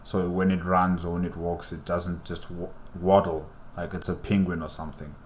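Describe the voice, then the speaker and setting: A man's voice: low voice, little pitch movement, normal speed, slight background noise. A man hosting a reaction video, commenting casually and thinking aloud with curious questions. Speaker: reaction channel host.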